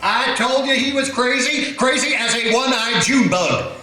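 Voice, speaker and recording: A man playing a superstitious frontier deputy in a radio play, with a slow folksy drawl and country sayings. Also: extremely high pitch, a crowd in the background. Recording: very echoey room.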